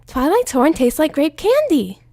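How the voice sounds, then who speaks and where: Brief: giddy, bouncy young female pony, very high and excitable, put on by a woman reader. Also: measured pace, dry recording, clean studio sound, full character voice. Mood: excited. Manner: excited and squealing.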